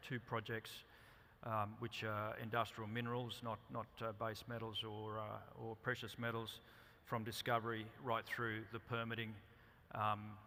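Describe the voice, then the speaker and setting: An Australian man giving a company presentation at a conference, speaking haltingly with many ums and restarts. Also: on the low side, bright, forceful tone, slight background noise. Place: conference hall. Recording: reverberant hall.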